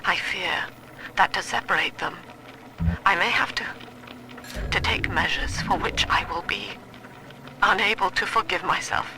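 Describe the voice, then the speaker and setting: Casting: actress for cerebral middle-aged female cult leader, video game. Slow, measured, oratorical, gravelly edge. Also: medium pitch, slight background noise, natural voice, rough recording. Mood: troubled. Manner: measured, solemn, precisely enunciated, oratorical. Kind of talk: dramatic video game monologue.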